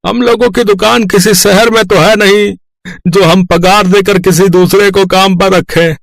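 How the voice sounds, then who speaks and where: A man's voice: high voice, fairly even intonation, a little slow, gravelly, dry recording, voice pushed up. A man voicing an apologetic village cloth shopkeeper in a cartoon, explaining plaintively. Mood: apologetic refusal.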